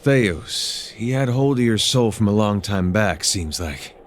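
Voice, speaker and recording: A weary adventurer from a fantasy game. His voice sits fairly low, and he speaks in a plain, folksy way, sparing with words. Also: animated, unhurried, slight background noise. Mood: gentle, probing. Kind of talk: video game character dialogue.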